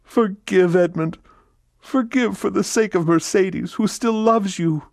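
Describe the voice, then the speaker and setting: A man's voice: fairly high pitch, animated, normal speed, darkish tone, no echo, pitched above the performer's own voice. A man doing a despairing noblewoman from a storybook reading, begging in a voice lifted well above his own. Her pleas swoop and surge, and the sound is dry and close. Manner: desperate, imploring. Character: anguished middle-aged noblewoman, pleading mother.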